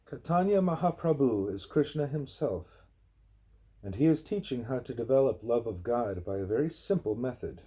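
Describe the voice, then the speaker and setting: A man reading a spiritual book aloud in a measured, explanatory way, with a husky voice. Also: mid-range voice, animated, mellow. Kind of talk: read-aloud scripted narration.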